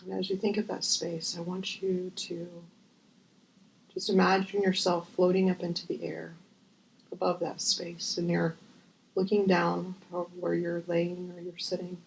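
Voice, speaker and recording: A woman guiding a visualization as host, gentle and unhurried with long pauses, her voice breathy and often near a whisper. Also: on the low side, level tone, a little hiss or hum, rough recording. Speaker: guided meditation host. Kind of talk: guided visualization, meditation.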